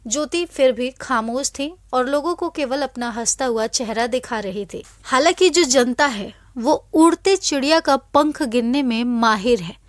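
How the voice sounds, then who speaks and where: A woman's voice: high-pitched, moderate pace, hardly any pauses, no echo, studio quality. A woman reading scripted celebrity news as an anchor, in an emphatic, dramatic storytelling way.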